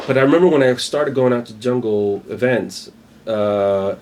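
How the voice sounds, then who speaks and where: A middle-aged man with an American accent, speaking at an average pitch. He is a podcast guest who looks back on his past in a loose, thoughtful way and often stops to say "you know" or "um.". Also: moderate pace, a little mellow. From Spain. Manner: reflective, frequent "you know" and "um". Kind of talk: casual conversational storytelling.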